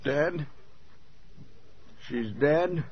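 An actor playing a slow-witted, childlike handyman, halting and dazed, in a 1940s radio drama. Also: fairly high pitch. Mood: dazed, mournful. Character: George, slow-witted, childlike, harmless-seeming handyman in shock. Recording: muffled old radio, faint noise.

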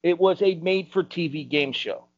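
Male podcast panelist, slightly high voice, emphatic arguments, dry and dull lo-fi sound. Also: moderate pace, no echo. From United States. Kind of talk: opinionated panel discussion.

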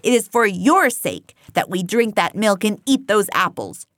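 A woman's voice: mid-range voice, animated, unhurried, a bit bright, no room sound, studio quality. A woman voicing a wheedling male pig, a slick propagandist making emphatic, pleading speeches in an audiobook. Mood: pleading, urgent. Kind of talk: audiobook character voice.

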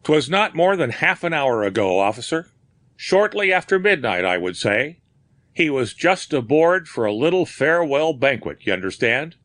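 A man voicing an old, grizzled sea captain who talks in old-fashioned sailor's phrasing, gruff and grieving. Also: fairly high pitch, big pitch swings, normal speed, a bit bright, no echo, voice pushed up. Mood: grieving, guarded. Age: older.

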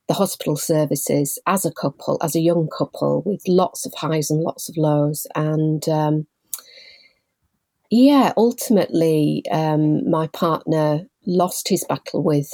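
A woman in her forties from Leeds, a guest telling her story in a measured, thoughtful way with frequent pauses. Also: deep voice, a little mellow, no room sound. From Leeds, England. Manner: measured and thoughtful, pausing often. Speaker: guest, patient involvement manager. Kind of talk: reflective personal storytelling.

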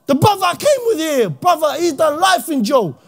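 Guy from iraq preaching hard to the youth, really high voice, fiery and shouty. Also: big pitch swings, normal speed. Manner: fiery, slang-heavy, often shouting. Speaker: Islamic speaker. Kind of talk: impassioned motivational talk to youth.

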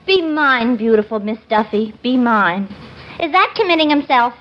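An actress plays a vain, easily offended woman hungry for romance in a 1950s radio comedy. Her voice is very high and animated, on a muffled, noisy old broadcast. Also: moderate pace, lightly acted. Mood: gushing. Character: gushing, touchy, man-hungry spinster, vain and dim.

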